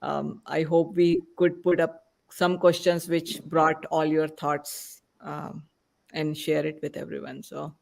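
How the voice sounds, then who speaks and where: A woman hosting a panel podcast, talking with many pauses and fillers. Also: deep voice, flat delivery, gravelly. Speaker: podcast host. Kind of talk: casual panel chat.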